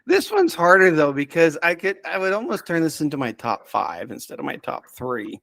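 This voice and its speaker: A man's voice: fairly high pitch, big pitch swings, normal speed, gravelly, fairly bright tone, no room sound, clean studio sound. A man talking casually as a podcast expert, often hesitating and restarting his thoughts as he rambles. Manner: hesitant, rambling, self-interrupting. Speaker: podcast subject-matter expert. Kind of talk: casual chat.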